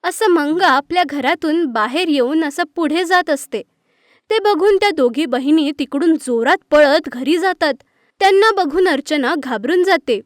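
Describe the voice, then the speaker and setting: High-pitched woman narrating a story. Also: expressive, normal speed, no echo, clean studio sound. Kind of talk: scripted children's story narration.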